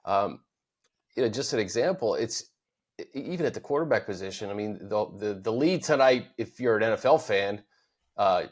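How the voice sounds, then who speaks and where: A man's voice: on the low side, expressive, normal speed. A middle-aged man hosting a sports podcast, talking thoughtfully in a casual chat with frequent restarts and repeated words. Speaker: podcast host.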